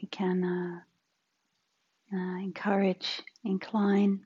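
A woman teaching a guided meditation in a soft, breathy, husky voice, gentle and unhurried with long pauses. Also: mid-range voice, completely flat delivery, dull audio.

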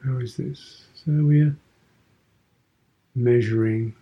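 A man guiding a meditation as a teacher, speaking contemplatively in short, sparse phrases with pauses and gentle questions. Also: medium pitch, fairly even intonation, dull audio. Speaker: meditation teacher, Buddhist monk. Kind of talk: guided meditation.

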